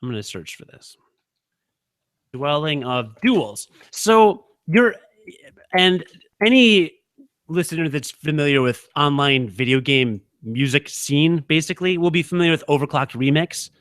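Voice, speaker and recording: Stoned dude on a podcast, rambly slangy banter, kinda higher voice, very dry sound. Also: male voice, animated, measured pace, a bit bright, no echo. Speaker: podcast co-host. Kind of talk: casual comedic podcast banter.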